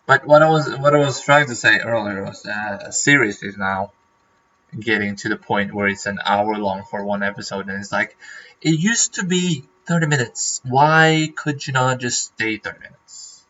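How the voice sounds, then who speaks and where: A man's voice: fairly low pitch, moderate pace, bright, forceful tone, highs cut off, slight background noise. A man from Norway, a native Norwegian speaker, chatting casually on a livestream and rambling with lots of fillers and restarts. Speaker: streamer.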